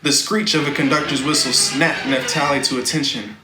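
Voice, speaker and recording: A man narrating a children's novel in a deliberate, dramatic, expressive reading style. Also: medium pitch, over music. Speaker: storybook reader. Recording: echoey room, faint background noise.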